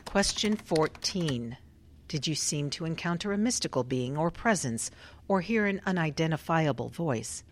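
A woman researcher with a notably low voice. She questions in a clipped, formal, measured way, keeping control like a clinician running a survey in a radio drama. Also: expressive. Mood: clinical, procedural.

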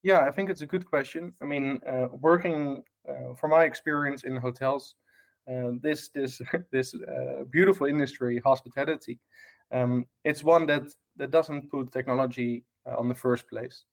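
Dutch man, mid-pitch, chatty guest who hesitates a lot and keeps checking "right?". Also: steady tone, moderate pace, gravelly, poor audio. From Netherlands. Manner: many fillers and restarts, tag questions. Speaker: guest, hospitality tech lead. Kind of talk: casual podcast interview.

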